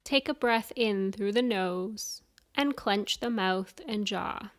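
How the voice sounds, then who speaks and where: Female meditation guide, unhurried and soothing, clear smooth tone, mid-range pitch, leaves space between instructions. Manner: soothing, measured instructions with pauses. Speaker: yoga nidra guide.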